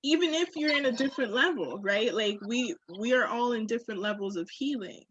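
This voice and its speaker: A woman's voice: fairly high pitch, fairly quick, a bit bright, breathy edge, no room sound, highs cut off. A woman talking candidly on a podcast in an emphatic, slangy way, with swearing.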